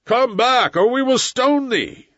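A man puts on a furious, superstitious villager in a storybook. He shouts in short, shrill bursts, pitched far above normal speech. The sound is dry and slightly dull. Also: expressive, slow pace, no room sound, full character voice. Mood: threatening. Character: shrill angry mob of superstitious villagers and priest.

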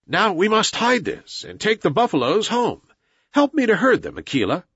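Man voicing a high-pitched, defiant young jungle boy. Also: huge pitch swings, normal speed, a bit bright, husky, dry recording, highs cut off, exaggerated voice. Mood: commanding. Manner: lofty, declamatory, archaic phrasing.

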